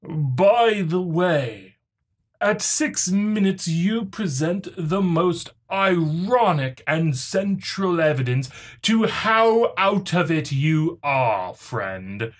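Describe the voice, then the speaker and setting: Man putting on a pompous, slow-talking snobby preachy commenter. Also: on the high side, animated, voice pushed up, exaggerated voice. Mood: smug, scolding. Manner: pompous, haughty, drawn-out delivery. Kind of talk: mocking dramatic reading.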